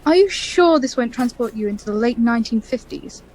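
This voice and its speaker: A woman playing a sensible, candid scientific colleague in an audio drama, frank and gently chiding. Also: on the high side, expressive, fairly quick, a little hiss or hum, lightly acted, rough recording. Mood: polite, teasing.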